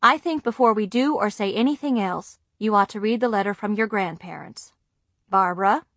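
A woman taking the part of a stern middle-aged father. He speaks slowly and quietly, each word measured. The read is dry and close. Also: mid-range voice, dry recording. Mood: grave.

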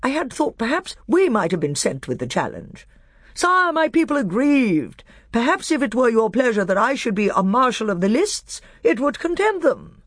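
A narrator voicing a tiny male mouse warrior with a shrill voice, courtly, formal and fiercely proud. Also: big pitch swings, moderate pace, a bit bright, no room sound, studio quality. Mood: proud, aggrieved. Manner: courtly, formal, touchy about honour.

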